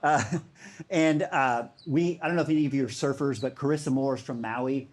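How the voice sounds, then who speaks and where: A man's voice: mid-range voice, moderate pace. A man giving a conference talk in a casual, enthusiastic way, with many fillers. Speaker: speaker.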